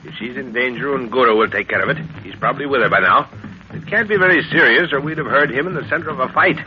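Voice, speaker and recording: An actor playing a middle-aged professor and explorer, a fatherly and knowing leader with a measured, authoritative manner, in 1930s radio drama style. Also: medium pitch, close to an everyday voice. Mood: reassuring. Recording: dull, hissy 1930s radio recording.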